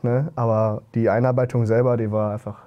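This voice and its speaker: A man's voice: medium pitch, level tone, a little fast, dull audio. A young man hosting a casual podcast chat, speaking in a blunt, colloquial way. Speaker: podcast host.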